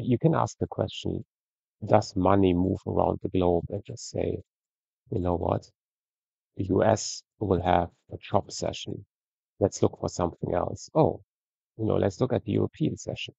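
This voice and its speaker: A man giving a relaxed, conversational screen-share review of trading charts, often ending points with "right?". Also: low voice, creaky, slightly rough, dry recording, lo-fi sound. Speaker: trading educator.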